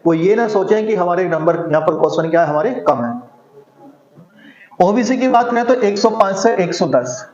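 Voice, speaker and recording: A man with a notably high voice explains things to students. He repeats his points and punctuates them with small rhetorical questions. The sound is dull and bounces around an echoey room. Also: darkish tone. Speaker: exam coaching teacher. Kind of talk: informal explainer addressed to students. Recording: muffled audio in echoey room.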